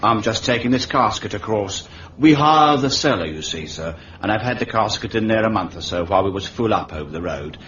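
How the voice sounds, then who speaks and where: An actor playing a wily middle-aged London undertaker with a Cockney accent, ingratiating, wordy and deferential, in a radio detective drama. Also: male voice, lowish voice, animated, a little fast, fairly bright tone. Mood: obliging, proud. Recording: muffled, hissy radio recording, distant mic.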